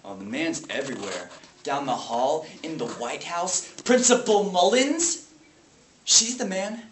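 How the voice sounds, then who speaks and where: A man's voice: a little high, lively intonation, measured pace. A man performing a theatrical monologue as an angry, defeated substitute teacher, sarcastic and explosive, ranting at children. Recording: echoey room with faint noise.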